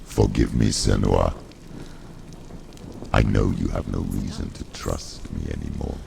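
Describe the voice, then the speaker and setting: Dark game entity: male, very gravelly growl, grave and portentous, buried in loud noise. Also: steady tone, measured pace, exaggerated voice. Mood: solemn pleading. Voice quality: gravelly, growling, extremely deep. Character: monstrous male shadow of darkness, grave and manipulative. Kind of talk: dramatic video game acting. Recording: heavy rumbling noise throughout.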